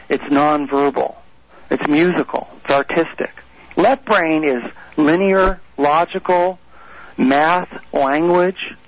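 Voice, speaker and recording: High-voiced husky man coaching on radio. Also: no room sound. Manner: coaching, repetitive and emphatic. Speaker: guest expert on the brain. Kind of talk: radio call-in talk. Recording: dull lo-fi radio audio.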